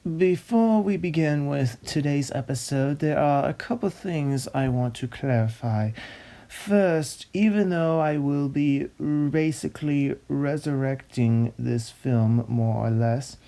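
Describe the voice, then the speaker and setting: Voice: mid-range voice, slow pace, mellow. A narrator reading a script in a formal, pompous, long-winded and droning way. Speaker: narrator and host. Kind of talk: scripted narration of a cancelled film pitch.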